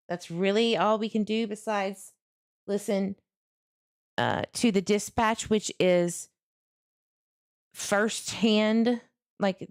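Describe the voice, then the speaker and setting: Casting: female livestream host, low register, casual earnest commentary on true crime. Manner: conversational, earnest, addresses viewers directly.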